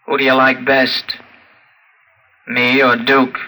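A man's voice: medium pitch, monotone, slow pace, sharp tone, poor audio. An actor in an old-time radio drama playing a childlike, lonely, menacing male robot with a harsh, droning voice. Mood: naive, curious. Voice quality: harsh, mechanical drone.

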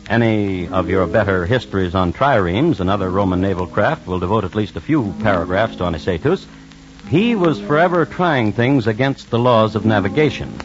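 A droll narrator of historical crime tales, played by a man, with a fairly low voice that swings in pitch. He is wry and measured, in old radio style. The sound is dull and vintage, with music underneath. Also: lightly acted. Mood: wry, deadpan. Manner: deadpan, wry, measured storytelling.

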